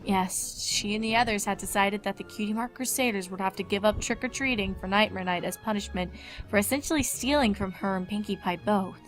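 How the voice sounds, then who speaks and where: A woman's voice: medium pitch, a little fast, faint music behind, rough recording. A woman reading fan fiction aloud as scripted story narration.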